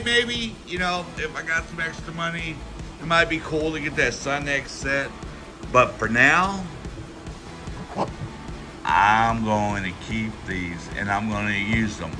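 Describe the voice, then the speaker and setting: A middle-aged American man giving a casual, folksy hands-on product review, talking in a halting, rambling way with repeated words. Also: lowish voice. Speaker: tool review channel host. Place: indoors at a workbench.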